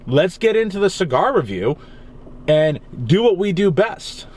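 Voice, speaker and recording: A man's voice: high voice, very animated, a little slow, a little mellow, a little hiss or hum. A young man with a Massachusetts accent chatting casually and rambling as he reviews a cigar from his car. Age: young adult. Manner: rambling, casual, swears freely. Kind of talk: casual vlog chat. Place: in his car on a rainy day.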